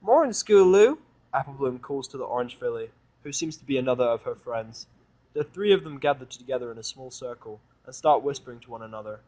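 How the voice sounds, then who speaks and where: Mid-pitched man narrating a story. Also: quick pace, a little mellow, low-quality recording. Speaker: fanfic reader and narrator. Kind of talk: first-person story narration.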